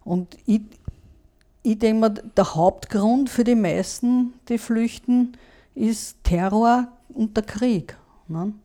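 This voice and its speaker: A woman's voice: fairly high pitch, slow pace, warm tone. A woman with an Austrian accent in a casual political discussion, conversational and often ending phrases with a short tag question. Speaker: podcast panelist.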